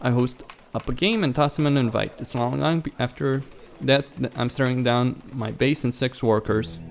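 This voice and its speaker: A man's voice: medium pitch, normal speed, creaky. A man hosting a let's play, reading a visual novel aloud and sometimes stumbling over the words, with music behind him. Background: background music.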